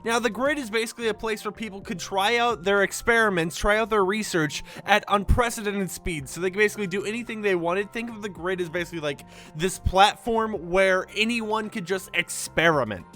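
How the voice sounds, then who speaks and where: A man's voice: sits high, a little fast, few pauses, pushed and bright, faint music behind. A young man hosting a casual gaming news video, chatty and rambling, leaning on fillers and a sleepy morning tone. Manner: chatty, rambling with many likes and basicallys. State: sleepy, recorded early in the morning.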